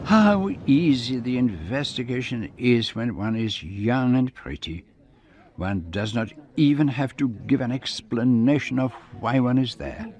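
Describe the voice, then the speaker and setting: An actor playing an urbane, wry detective who narrates a radio drama in a precise, formal manner. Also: male voice, mid-range voice, lively intonation, measured pace, darkish tone.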